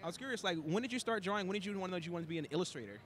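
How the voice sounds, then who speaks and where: A man's voice: high voice, animated, racing, a bit bright. A man interviewing a guest in a casual, conversational style. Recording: noisy location with ambient background.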